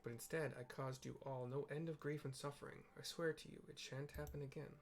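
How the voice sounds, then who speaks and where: A man's voice: mid-range voice, fairly even intonation, brisk, lightly acted. A man voicing a humbled, sincere male hero in a fantasy game, pledging loyalty in formal, heartfelt words. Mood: contrite, resolute.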